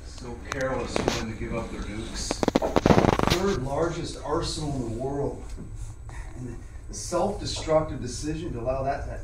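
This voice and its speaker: A man's voice: medium pitch, moderate pace, natural voice. A man playing an opinionated drinking companion. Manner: opinionated, emphatic. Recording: very echoey, distant, faint hiss.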